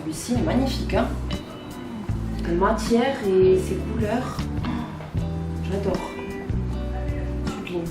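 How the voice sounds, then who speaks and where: A woman visitor speaking slowly and admiringly. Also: mid-range voice. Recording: distant, roomy, loud music.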